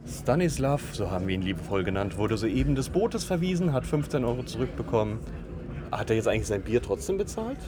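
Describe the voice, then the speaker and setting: A man's voice: medium pitch, big pitch swings, brisk. A man hosting a casual travel podcast, talking in a joking, teasing, sarcastic way. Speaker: podcast host recording travel voice messages. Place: outdoors in a busy city. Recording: noisy outdoor street with traffic.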